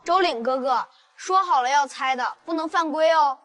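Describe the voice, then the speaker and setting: A woman's voice: high voice, normal speed, a bit bright. A woman voicing a playful, gossipy little girl in a drama, with a childlike manner. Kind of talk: audio drama dialogue.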